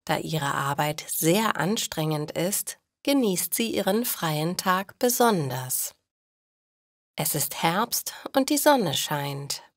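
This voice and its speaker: A woman narrating simple scripted stories for language learners, speaking deliberately and enunciating carefully. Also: low voice, expressive, no echo, clean studio sound. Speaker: storyteller for German learners.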